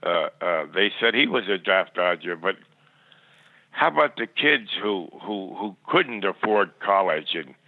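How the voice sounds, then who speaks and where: Over a tinny, dry, phone-like line comes an older man with a lowish voice. He reminisces in a plainspoken, rambling way, stumbling and repeating words as he goes. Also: very animated, measured pace, dry recording. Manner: rambling, plainspoken, stammering repetitions. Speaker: podcast guest. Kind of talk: reminiscing in interview.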